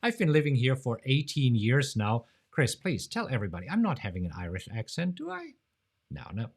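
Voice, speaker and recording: A man from Germany with a German accent, hosting a casual live-stream Q&A in a chatty, jokey way, laughing often and jumping up in pitch. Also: wildly swooping pitch, fairly quick, slightly rough. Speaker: host of a live trading show.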